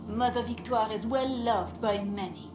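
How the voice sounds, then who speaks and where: Woman acting an earnest cleric sister, echoey over loud music. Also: fairly high pitch, unhurried, close to an everyday voice. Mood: defiant. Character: devout, secretive cleric sister, loyal and defiant. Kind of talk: dramatic fantasy game acting.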